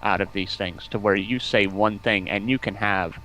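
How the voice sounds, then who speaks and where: A man's voice: fairly low pitch, fairly even intonation, normal speed, pushed and bright, slight background noise, low-quality recording. A man talking casually as a guest in a stream interview, explaining at length in an enthusiastic, rambling way. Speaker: stream guest, community outreach representative. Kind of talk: casual interview talk about game streaming.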